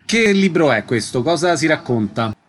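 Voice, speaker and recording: A male radio host with a fairly high-pitched voice. He presents items and puts short, direct questions to guests. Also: very animated, moderate pace.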